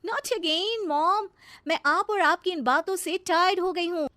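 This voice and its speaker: Woman playing spoiled, shrill-pitched young model wife. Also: slow, bright, forceful tone, no echo, studio quality, full character voice. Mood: angry, scornful. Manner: snappy, whining, scornful, drops in English phrases. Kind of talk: cartoon moral-story dialogue.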